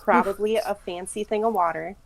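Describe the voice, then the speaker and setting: A woman's voice: medium pitch, fairly even intonation, a little fast, vocal fry. A woman in a casual podcast chat, speaking bluntly and informally.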